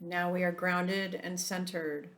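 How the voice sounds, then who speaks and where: A woman leading a guided meditation in a softened, soothing voice, drawing out slow breathing instructions. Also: lowish voice, flat delivery, echoey room.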